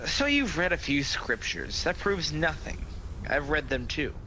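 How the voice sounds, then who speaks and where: An actor playing a sly, proud devil who taunts and tempts in a sardonic, theatrical, persuasive manner in a radio play. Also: male voice, a little high, huge pitch swings, a little slow, sharp tone, exaggerated voice. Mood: boastful, challenging. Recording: noisy home video-call recording.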